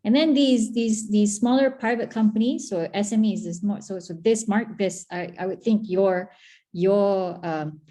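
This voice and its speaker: Mellow-voiced Malaysian woman, chatty. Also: mid-range voice, a little slow. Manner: chatty, many restarts and fillers. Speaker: guest, former tech agency chief. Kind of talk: conversational interview answers.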